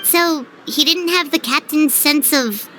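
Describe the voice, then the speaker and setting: A woman voicing a tiny, excitable childlike fairy companion in a video game, squeaky and exclamatory. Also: measured pace, very noisy. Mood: curious, surprised. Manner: exclamatory, speaks of herself in third person. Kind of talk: video game cutscene acting.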